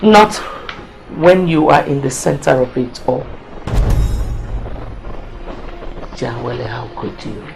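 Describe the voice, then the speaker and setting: A woman's voice: sits low, creaky, darkish tone, full character voice, rough recording. An actress playing a mother and queen who scolds her son and gives orders to her servants. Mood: reproachful. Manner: scolding, commanding. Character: stern mother queen of a palace. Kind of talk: melodramatic drama acting.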